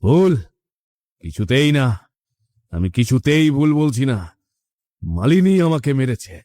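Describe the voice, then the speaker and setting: Slow, dark-voiced ghost of a murdered father man acting. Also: medium pitch, sing-song, no echo, studio quality. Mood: insistent. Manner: slow, deliberate, ominous. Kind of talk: dramatic cartoon horror acting.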